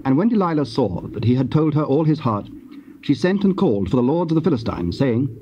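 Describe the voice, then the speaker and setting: A man gives a solemn, formal narration of scripture. His delivery is measured, with a medium pitch. The cassette sound is dull and faintly noisy. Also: sing-song.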